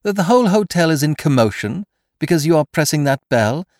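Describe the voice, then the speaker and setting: A man voicing a smug, dapper gentleman villain in a storybook reading, teasing his victim with theatrical mock politeness. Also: on the high side, lively intonation, fairly quick, no echo, clean studio sound, voice pushed up. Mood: taunting, amused.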